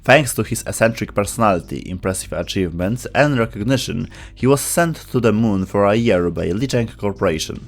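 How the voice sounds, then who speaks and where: A man's voice: on the low side, animated, brisk, hardly any pauses. A man reading scripted explainer narration as the narrator of a video. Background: background music.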